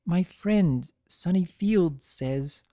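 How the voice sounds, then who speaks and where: Man as girlish young unicorn mare in a pony story, naive and gossipy, pitch lifted and bouncing. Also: lively intonation, extremely slow, warm tone, dry recording, studio quality. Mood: confiding.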